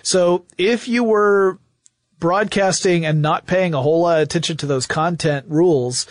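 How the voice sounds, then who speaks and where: A man's voice: sits high, normal speed, dry recording, clean studio sound. A man co-hosting a podcast, explaining things conversationally and thinking aloud. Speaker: podcast co-host.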